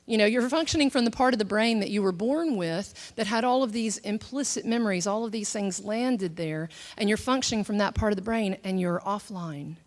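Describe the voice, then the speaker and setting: A middle-aged woman speaks at a church teaching session, talking through brain science and her own story. Her delivery is conversational and loose, full of fillers and restarts, and she acts out the thoughts she quotes. Her voice sits at a middle pitch for a woman. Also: a little fast, hardly any pauses. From southern United States. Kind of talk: teaching talk mixed with personal testimony.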